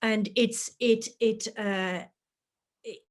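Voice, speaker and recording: A woman lecturer speaks on an online panel. She pauses often and fills the gaps with "um" and "uh" as she thinks. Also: fairly high pitch, steady tone. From Ireland. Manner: hesitant, many "um" and "uh" fillers. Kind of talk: panel discussion.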